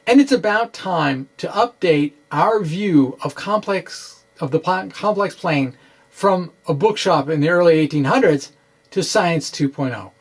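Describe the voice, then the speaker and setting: A middle-aged man giving a dramatic reading of a science explainer, with deliberate, halting, theatrical phrasing, close to the microphone in a small room. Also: on the high side, breathy edge. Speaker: science blogger presenting. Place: small indoor room with bookshelves. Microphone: microphone close in front on a stand.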